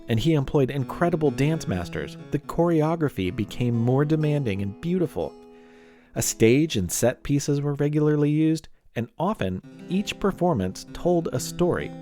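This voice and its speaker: A man's voice: mid-range voice, mellow. A man narrating scripted history as a podcast host, with playful, wry asides.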